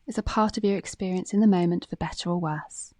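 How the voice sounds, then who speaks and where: A woman reading a guided meditation script in a calm, gentle, measured way, with phrases that soften at the ends. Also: lowish voice, dry recording, clean studio sound.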